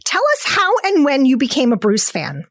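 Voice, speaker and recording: A woman co-hosts a podcast, bubbly and quick to laugh. Her voice is high and jumps around a lot. The recording is dry and close. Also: huge pitch swings, dry recording. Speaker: podcast co-host. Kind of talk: casual podcast interview.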